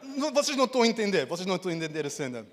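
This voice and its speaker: A man's voice: high-pitched, sing-song, very fast, some chatter behind. A man from Portugal with a European Portuguese accent, opening a sermon playfully and speaking straight to the congregation. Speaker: guest preacher. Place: large church hall. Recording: long hall reverb in a church.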